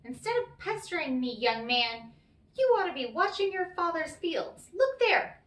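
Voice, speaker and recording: A woman's voice: high-pitched, very animated, measured pace, fairly bright tone, pitched above the performer's own voice, exaggerated voice. A woman voicing a sly, cranky little old leprechaun man in a storybook tale, with a crafty, folksy manner. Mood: sharp, scolding. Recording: roomy, echoey, distant microphone.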